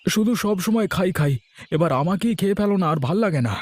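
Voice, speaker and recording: A man's voice: high-pitched, quick pace, no echo. A man playing a henpecked, exasperated husband in a cartoon, complaining and muttering. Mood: grumbling.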